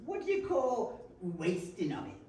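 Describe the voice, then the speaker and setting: A woman's voice: mid-range voice, huge pitch swings, measured pace, dark tone. A woman playing a greedy, sly old rag-and-bone dealer in a readers theater drama, in a very echoey room. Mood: curious, amused. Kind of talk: readers theater stage acting. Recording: very echoey room, distant mic, faint noise.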